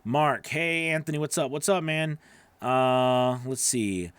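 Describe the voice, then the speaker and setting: A man's voice: mid-range voice, takes it slowly, a bit bright, clear, resonant tone, no echo. A man in his forties giving casual livestream advice in an emphatic, encouraging way, repeating phrases for stress, close on a studio microphone. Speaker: host, voice-over coach. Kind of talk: casual livestream advice, answering viewer questions. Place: small home studio, indoors. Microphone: close studio microphone on boom arm.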